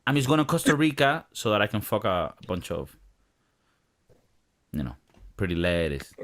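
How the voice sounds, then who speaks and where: A man's voice: on the low side, normal speed. A man hosting a casual podcast, joking and swearing freely as he tells stories. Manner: profane, joking, animated storytelling. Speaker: podcast host.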